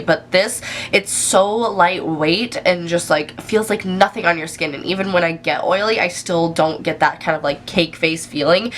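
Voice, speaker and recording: A woman's voice: lowish voice, fairly bright tone, slight background noise. A young woman in her twenties with a stuffy, nasal voice from a cold, chatting enthusiastically through a casual makeup review. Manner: chatty, enthusiastic, many fillers. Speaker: beauty vlogger.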